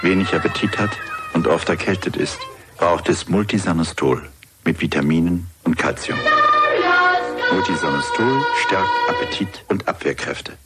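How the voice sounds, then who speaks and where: A woman's voice: extremely low pitch, moderate pace. A woman delivering a scripted commercial voice-over as an announcer. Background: loud music.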